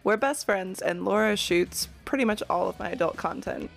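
A woman with a gravelly, fry-laden voice, chatting casually and candidly as a podcast co-host, with crude joking and animated storytelling. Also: medium pitch, normal speed, soft background music. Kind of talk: casual comedic podcast chat.

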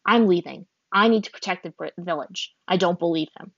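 A woman's voice: on the low side, a little fast, fairly bright tone, dry recording, highs cut off. Stubborn teen boy with a sword, cold and curt, dry close sound, as a woman narrator puts him on. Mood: dismissive. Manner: curt, dismissive.

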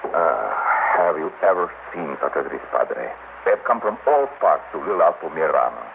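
An actor playing a flustered, easily impressed small-town Mexican mayor in an old-time radio drama. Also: male voice, rumbling low, very animated, normal speed, creaky, phone-quality sound, full character voice. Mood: awed. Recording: thin, slightly noisy old radio broadcast.

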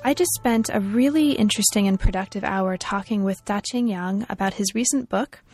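A woman's voice: medium pitch, moderate pace, a little mellow, faint music behind. A woman hosting an academic book interview, speaking with enthusiastic, effusive praise and frequent fillers. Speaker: podcast host.

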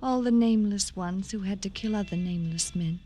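An actress playing a gentle, grieving young woman, soft-spoken, earnest and deliberate, in old-time radio drama style. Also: medium pitch, natural voice. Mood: quiet grief.

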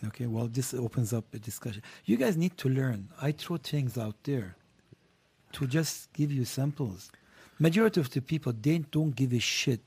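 Blunt husky man. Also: mid-range voice, animated, normal speed, a little mellow. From Lebanon. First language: Armenian. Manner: blunt and provocative, swears freely. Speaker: guest. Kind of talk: casual panel chat.

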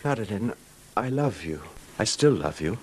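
Radio drama casting: devoted middle-aged husband, refined, halting, nervy, widely swooping intonation, noisy old recording. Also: male voice, fairly low pitch, big pitch swings. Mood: hurt, pleading. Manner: genteel, wistful, halting, formal wording. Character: wealthy middle-aged recluse husband, genteel, hesitant, devoted, nervous.

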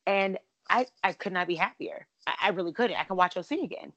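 A woman with a raspy voice hosting a casual podcast chat over a remote call, talking in a breezy way with lots of "like" and "literally.". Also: fairly low pitch, fairly bright tone, no room sound, dull audio. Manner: chatty, fast-talking with many "like" and "literally". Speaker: podcast host. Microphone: remote call, cutting out at times.

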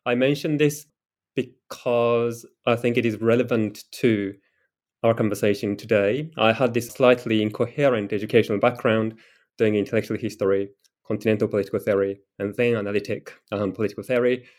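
A middle-aged man from Japan, a political theorist answering interview questions in a careful, measured way. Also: fairly low pitch, steady tone, darkish tone. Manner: careful, measured explanation with occasional fillers.

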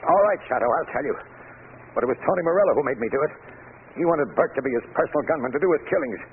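An actor playing a frightened, agitated crooked doctor in an old-time radio drama, hurried and pleading. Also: male voice, fairly high pitch, animated. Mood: panicked. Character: cornered, frightened crooked male doctor confessing. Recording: tinny old radio broadcast, faint noise.

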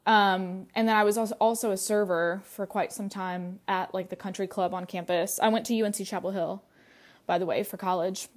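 A woman's voice: medium pitch, fairly even intonation, normal speed. A young adult woman hosting a podcast, talking casually about her own life, full of "like" and "um". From United States. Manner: chatty, many fillers and "like". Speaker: podcast host. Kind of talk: casual personal monologue.

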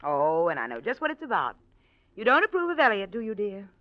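Lively, willful society woman. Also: mid-range voice, fairly quick, dry recording. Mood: arch, needling. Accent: American. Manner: arch, teasing, self-assured. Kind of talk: 1940s radio drama acting. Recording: muffled old radio recording, faint music.

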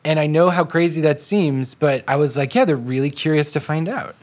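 An American man, a writer being interviewed, recalling events in a halting, self-deprecating, casual way. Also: a little high, darkish tone. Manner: halting, self-deprecating, acts out remembered remarks.

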